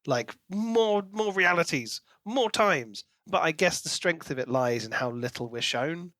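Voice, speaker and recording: A man with a somewhat high-pitched voice talks casually as a podcast co-host. His delivery is informal and keen, like a reader sharing opinions. The recording is dry and free of room echo. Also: animated, unhurried, fairly bright tone. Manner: enthusiastic, informal. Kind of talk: casual book discussion.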